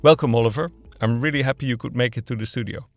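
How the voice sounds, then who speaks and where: A man's voice: mid-range voice, fast talker, no echo, soft background music. A man whose first language is Dutch, hosting a studio podcast and asking polite, structured questions. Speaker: podcast host. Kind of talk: hosted podcast interview.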